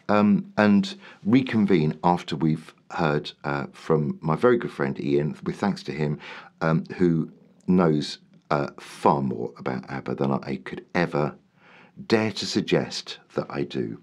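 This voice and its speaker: A man hosting his podcast in a rambling, chatty way, his voice throaty and slightly raspy today. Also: extremely low pitch, lively intonation, slow, a little mellow. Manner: rambling, lots of ums and restarts. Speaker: podcast host. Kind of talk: casual solo podcast monologue.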